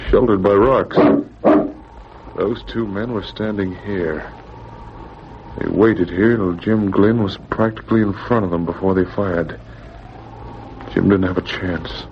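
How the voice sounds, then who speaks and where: Deep voiced mountie, slow and deliberate, thinking out loud, old radio show. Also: male voice. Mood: pondering, resolute. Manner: deliberate, thinking aloud in clipped musings. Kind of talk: old-time radio drama acting. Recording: muffled, clearly noisy vintage radio recording.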